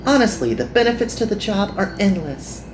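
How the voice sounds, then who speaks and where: Guy doing a perky earnest anime magical girl, pitched up high, bit of room echo. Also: sing-song, brisk, noisy background.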